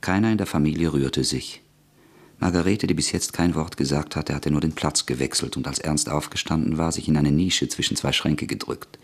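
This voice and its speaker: A man narrating a radio play in a measured, scripted way. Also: steady tone, husky. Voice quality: deep, measured.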